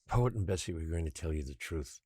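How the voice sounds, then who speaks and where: An actor playing a patient, controlling guardian who secretly rules an island, speaking in a measured, quietly menacing way in an audio drama. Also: male voice, extremely low pitch, expressive, slightly rough, no echo, exaggerated voice. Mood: grave, justifying.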